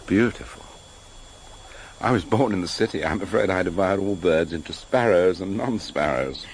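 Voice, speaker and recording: An actor playing a gentle, wry, self-deprecating companion in a vintage radio drama. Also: male voice, low voice, normal speed. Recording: slightly noisy vintage radio recording.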